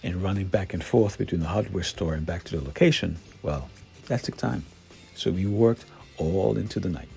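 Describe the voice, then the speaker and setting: Male DIY vlog narrator, gravelly low register, recounting installation steps. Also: normal speed, darkish tone. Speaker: DIY van build vlogger. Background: background music.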